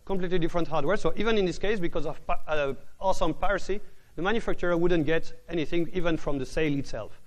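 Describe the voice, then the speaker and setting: High-voiced man giving a tech talk. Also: fast talker. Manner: restarts words often, calls his own voice bored. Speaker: conference speaker. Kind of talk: technical conference talk.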